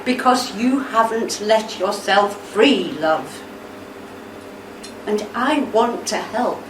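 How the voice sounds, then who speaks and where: A woman performs a gentle, insistent wife in a radio drama. She speaks slowly and coaxingly, with sorrowful tenderness. She sounds set back from the mic in an echoey, noisy room. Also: medium pitch, close to an everyday voice. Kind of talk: radio play acting. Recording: echoey room, distant mic, background noise.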